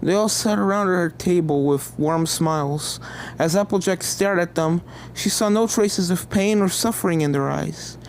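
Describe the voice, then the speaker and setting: A woman's voice: on the low side, moderate pace, darkish tone, a little hiss or hum, low-quality recording. A woman reading a fan fiction story aloud as narrator, in a scripted, dramatic storytelling style.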